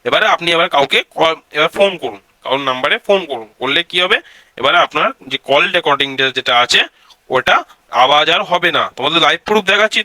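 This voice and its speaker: A man's voice: fairly high pitch, sharp tone, no echo. A man hosts a casual step-by-step tech tutorial, addressing viewers directly and repeating his instructions.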